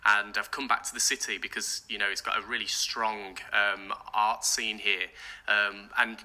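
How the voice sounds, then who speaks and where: A man's voice: fairly low pitch, little pitch movement, moderate pace, bright, forceful tone, rough recording. A man whose first language was British Sign Language, a guest in a casual radio interview, talking in a rambling way with many fillers and restarts. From England. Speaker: event organizer, guest.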